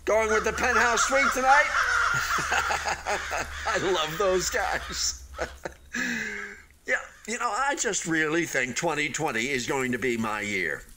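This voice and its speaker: A man's voice: on the high side, expressive, noisy background. A man in a radio play voicing an unfinished hotel building, proudly deluded and eagerly optimistic, with a theatrical delivery that halts and then gushes. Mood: upbeat, hopeful. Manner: theatrical, halting then gushing, swooping emphasis.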